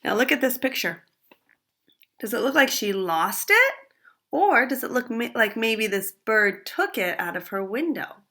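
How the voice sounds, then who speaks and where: Slow, animated woman reading aloud. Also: fairly high pitch. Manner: slow, expressive, pauses to ask questions. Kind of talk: reading a picture book to children.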